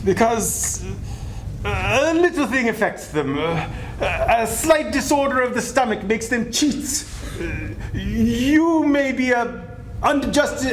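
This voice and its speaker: In an echoey room, a man plays a cold old Victorian miser in a stage reading. The voice is high and swings widely, curt and scornful with every refusal. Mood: sceptical. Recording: echoey room, background noise and rumble.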